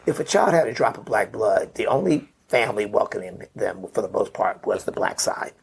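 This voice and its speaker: Middle-aged male guest, gravelly and husky, loose storytelling peppered with "right?". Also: on the low side, lively intonation, brisk. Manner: rambling, uses "right?" and "you know" often. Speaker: podcast guest, memoir author. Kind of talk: conversational interview storytelling.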